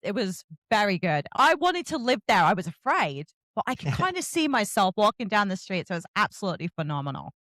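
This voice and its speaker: A woman's voice: medium pitch, big pitch swings, brisk, few pauses, a bit bright, no echo. A woman from Kent, chatting casually and effusively as she hosts an interview, often joking. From Kent, England. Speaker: book podcast host interviewing an author.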